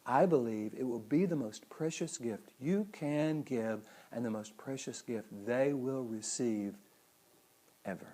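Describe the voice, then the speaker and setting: A man's voice: medium pitch, unhurried, mellow. A middle-aged man giving a motivational talk as a host, with earnest, emphatic phrasing. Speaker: psychologist and speaker hosting a weekly show.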